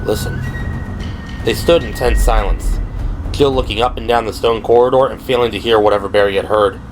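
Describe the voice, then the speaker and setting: A man's voice: lowish voice, fairly even intonation, a little fast, music in the background, rough recording. A man reading scripted dramatic narration for an audio drama. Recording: clear background noise and ambience. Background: ambient sound effects.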